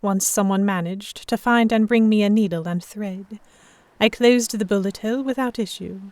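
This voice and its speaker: A woman's voice: mid-range voice, darkish tone, dry recording, lightly acted. Woman playing an earnest noblewoman, dry close sound. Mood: tense, reflective. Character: delicate young noblewoman, vexed, earnest, stubborn romantic heroine. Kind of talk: first-person dramatic audiobook narration.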